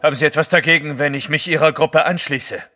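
Knapper Kaufmann mit heller Stimme. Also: male voice, fairly high pitch, steady tone, fast talker, pushed and bright, no room sound, clean studio sound, faint music behind. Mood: terse, proud. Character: blunt, proud Russian merchant travelling east. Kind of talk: audio drama acting.

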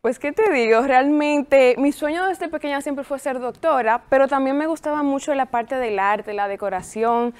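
A woman's voice: high-pitched, moderate pace, few pauses. A woman in her thirties, a guest on a TV studio show, answering questions cheerfully and laughing often. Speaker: guest, pastry business owner. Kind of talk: interview answers.

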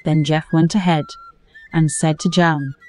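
Woman, storyteller, low pitch, soft dark tone, scripted folktale, music behind. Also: fairly even intonation, unhurried. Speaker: storyteller narrator. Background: music under the voice.

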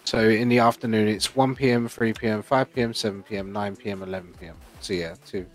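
A man's voice: fairly low pitch, steady tone, quick pace. A man chatting casually with a fellow player during an online roleplay game. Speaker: roleplay gamer playing a police trainee.

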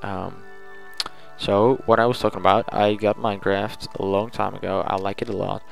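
Man, fairly low voice, casual gaming audio log, chatty, informal, rambling, light music in the background. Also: little pitch movement, normal speed, vocal fry. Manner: informal, chatty, thinking aloud. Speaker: gaming channel host.

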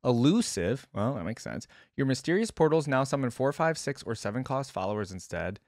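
A man's voice: medium pitch, very animated, a little fast, a little mellow, no room sound, clean studio sound. A young man giving casual, off-the-cuff reaction commentary as a video host. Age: young adult.

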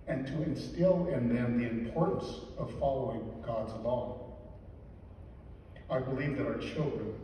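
An older man giving a weekday homily at a lectern, earnest and measured, stressing key words slowly. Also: medium pitch, mellow, slight background noise. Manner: measured, earnest, slow deliberate emphasis. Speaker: deacon or priest giving a homily. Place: large church sanctuary. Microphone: lectern mic, filmed from the back pews. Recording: echoey church from far off.

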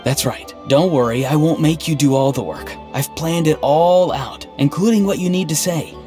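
An actor playing an earnest, odd, melancholy young man in a video game, speaking in a halting, stiffly sincere deadpan. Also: fairly high pitch, lightly acted. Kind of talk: video game dialogue acting. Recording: game music underneath. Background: background game music.

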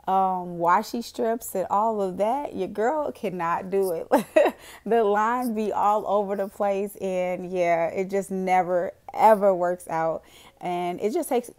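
Hoarse woman vlogger recovering from a cold. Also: medium pitch, darkish tone. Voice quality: raspy. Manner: chatty, rambling, with frequent "um" and laughs. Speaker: planner and budgeting vlogger. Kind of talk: casual voiceover narration. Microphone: voiceover recorded separately from the footage.

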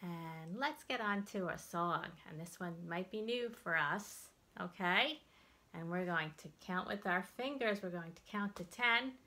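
Middle aged american lady doing storytime for little kids, really bright voice, takes it slow and expressive. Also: fairly low pitch. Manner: expressive, deliberate delivery for young children. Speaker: children's librarian hosting storytime. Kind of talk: children's storytime read-aloud and instruction. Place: indoors, plain-walled room.

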